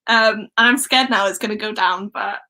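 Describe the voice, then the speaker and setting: Bright-voiced young Welsh woman. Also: medium pitch, normal speed, slight background noise. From Wales. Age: young adult. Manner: chatty and excitable. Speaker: host. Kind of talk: casual live-stream chat.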